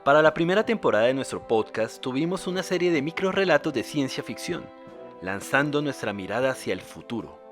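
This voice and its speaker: A man's voice: medium pitch, animated, fairly quick, soft background music. Colombian man narrating a scripted podcast. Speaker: podcast host.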